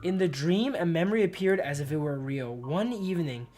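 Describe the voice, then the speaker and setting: A man's voice: a little high, animated, brisk, a little mellow. A man doing casual game commentary as a streamer, reading story text aloud and chatting in loose bro-and-dude slang. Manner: slangy, informal, often addressing listeners as bro and dude. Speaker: live streamer. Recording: music playing behind the voice. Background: music.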